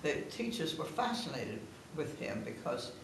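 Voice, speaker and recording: Animated woman in her nineties, very echoey room. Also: low voice, normal speed, vocal fry. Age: 90s. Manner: animated, anecdotal, given to laughing. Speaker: guest of honour sharing family memories. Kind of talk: casual family storytelling. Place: echoing room at a family gathering. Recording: very echoey room, distant mic, noisy.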